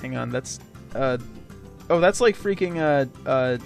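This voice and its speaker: A man giving casual video game commentary, explaining in a halting, rambling way with sarcastic jokes. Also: mid-range voice, animated, gravelly. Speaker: let's play host and player. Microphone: voice-over commentary recorded over game footage. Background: game music.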